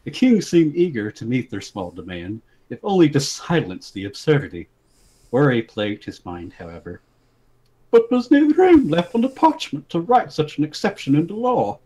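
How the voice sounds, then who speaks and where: Husky, animated man reading a comic story. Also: medium pitch, rough recording. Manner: amused, breaking into giggles. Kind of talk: dramatic reading with mock-serious narration.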